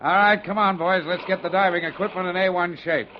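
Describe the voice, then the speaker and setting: An actor playing a brisk, hearty salvage boat crewman in old-time radio drama style. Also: high-pitched, steady tone, close to an everyday voice. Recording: dull, noisy vintage radio recording.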